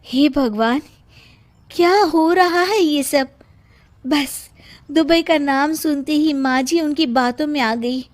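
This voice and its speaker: A shrewd, caring young daughter-in-law from a cartoon drama , played by a woman. Her voice is high and earnest, with a worried, measured delivery. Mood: worried, determined.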